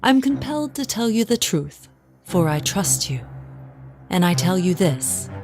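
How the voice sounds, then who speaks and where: An actress playing a resentful, well-to-do wife, narrating formally and dramatically in an audio drama. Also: female voice, on the low side, sing-song, unhurried, a little mellow, soft background music. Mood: bitter, ominous. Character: resentful, well-to-do wife recounting her confession.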